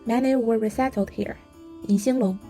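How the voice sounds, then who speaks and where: A woman narrates a travel vlog in calm, scripted voice-over. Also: fairly high pitch, moderate pace, soft background music. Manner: calm storytelling.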